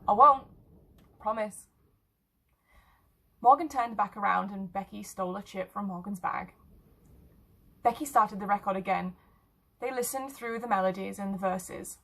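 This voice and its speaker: Creaky, husky woman reading fiction. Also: medium pitch, moderate pace, rough recording. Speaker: short story narrator. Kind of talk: scripted fiction narration.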